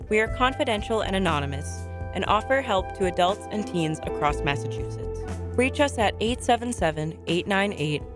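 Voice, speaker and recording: A woman's voice: mid-range voice, animated, moderate pace. Woman reading a helpline spot over music. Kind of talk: public service announcement.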